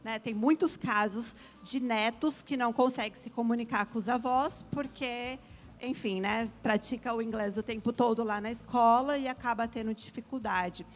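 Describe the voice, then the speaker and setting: Uma mulher brasileira de meia-idade, especialista em educação internacional, explicando com calma e muitos "né" numa entrevista. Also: female voice, on the high side, normal speed. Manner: explains at length, frequent "né" tags. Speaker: international education specialist, guest. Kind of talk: explanatory interview talk.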